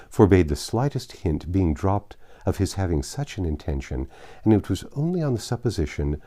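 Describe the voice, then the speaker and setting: A man reading a classic novel aloud as an audiobook narrator, in a measured, formal delivery. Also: low voice, warm tone.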